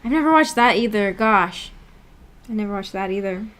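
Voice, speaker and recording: A woman's voice: mid-range voice, animated, moderate pace, a bit bright. A woman hosting a livestream, chatting casually and reading out viewer comments in a giggly, chatty way. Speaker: streamer and host.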